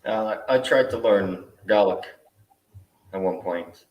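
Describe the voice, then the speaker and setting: Male host, very low voice, relaxed and thoughtful; roomy echo, off-mic. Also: takes it slowly, distant mic, highs cut off. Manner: relaxed, thoughtful, with frequent fillers. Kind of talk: casual video call chat. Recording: echoey room, away from mic.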